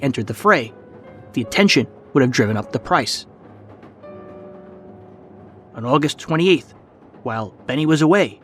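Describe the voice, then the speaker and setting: A man's voice: on the high side, a bit bright. A man reading scripted documentary narration as a podcast host.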